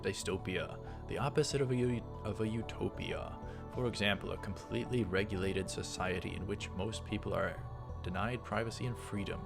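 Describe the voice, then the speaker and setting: A man with a fairly low voice and a noticeable creaky fry reads game text aloud as a let's play host. He drops in casual, offhand asides. Music plays clearly behind him. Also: fairly quick, hardly any pauses.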